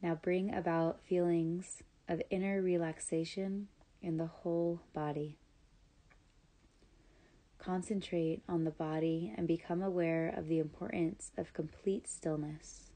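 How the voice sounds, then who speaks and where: A woman guides a relaxation practice from a script in a soft-edged, very mellow voice. Her pitch sits a little low and she moves very slowly. The manner is calm, measured and soothing, with room left between instructions. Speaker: yoga nidra guide.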